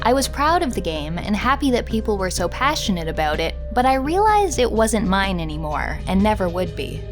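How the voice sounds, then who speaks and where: A woman's voice: mid-range voice, big pitch swings, brisk, over music. A woman reading out first-person quotes as scripted narration for a documentary. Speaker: reader of quotes.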